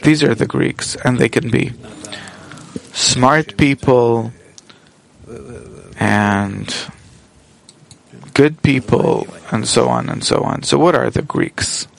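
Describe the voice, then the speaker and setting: A man interpreting a spiritual teacher live, working through each thought in measured, halting phrases. His delivery is unhurried, and his mid-range voice carries a gravelly edge. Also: mid-range voice, very animated. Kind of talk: simultaneous interpretation from another language.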